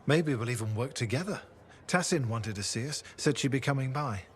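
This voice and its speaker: An actor playing a quiet, reflective man with a troubled past in a video game. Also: mid-range voice, expressive, fairly quick, natural voice. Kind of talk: video game cutscene acting.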